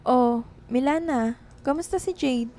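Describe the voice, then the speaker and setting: A woman playing a soft, loving young writer in grief in a radio drama. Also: high voice, measured pace. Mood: anxious. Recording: music behind the voice.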